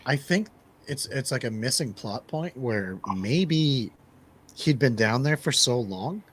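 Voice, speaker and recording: A man's voice: mid-range voice, animated, slow, a bit bright, breathy edge. A man in a casual, opinionated film review chat, repeating his phrases for emphasis. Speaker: movie review panelist.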